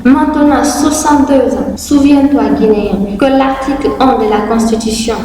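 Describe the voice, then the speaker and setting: A young woman reciting a patriotic spoken-word poem in a solemn, declamatory way, in short deliberate phrases. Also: sits high, fairly even intonation, dark tone. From Guinea. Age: young adult. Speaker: poet. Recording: very echoey, long hall reverb.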